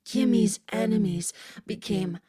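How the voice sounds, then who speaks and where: A woman voicing a cold evil spirit that has taken over a teenage girl, speaking in a low, husky, raspy voice. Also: warm tone, no room sound, studio quality. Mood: menacing. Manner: slow, cold and threatening.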